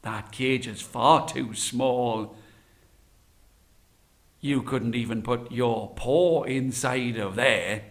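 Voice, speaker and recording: A cunning storybook beggar man, acted by a male storyteller, who plays slow-witted. His words come slowly and drawn out, in an innocently puzzled way. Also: mid-range voice, pitched below the performer's own voice. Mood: feigned confusion. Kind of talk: children's folktale storytelling.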